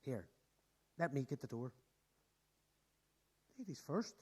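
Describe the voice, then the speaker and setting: Woman playing a low, animated teenage schoolboy. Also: takes it slowly, husky, exaggerated voice. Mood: teasing. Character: teenage schoolboy Mike, easygoing, teasing, needy classmate. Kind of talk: radio play acting.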